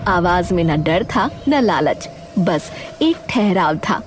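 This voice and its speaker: Expressive woman narrating a story. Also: lowish voice, slow pace. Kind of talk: dramatic scripted story narration. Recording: loud background music.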